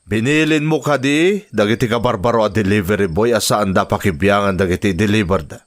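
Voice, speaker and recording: A man's voice: fairly low pitch, fairly quick, close to an everyday voice. A man playing a commanding, volatile drug-gang boss in an Ilocano audio drama. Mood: cold authority. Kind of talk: Ilocano radio-style crime drama acting.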